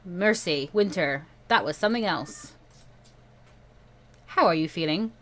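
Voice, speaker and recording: A woman's voice: on the low side, animated, unhurried, a bit bright. A woman voicing a casual, wry adult male mentor in a visual novel, coaxing and giving instructions.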